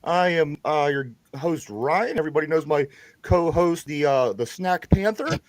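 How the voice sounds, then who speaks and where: Casual pittsburgh guy hosting a sports podcast, rambly, lots of restarts, bouncy pitch, dry sound. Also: lively intonation, moderate pace, dry recording. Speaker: podcast host.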